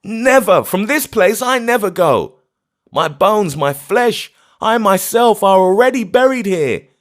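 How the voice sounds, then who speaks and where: A man voicing a grief-maddened old astronomer, who rages in bitter, anguished outcries, pitched far above his own voice. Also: big pitch swings, measured pace. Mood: shrieking.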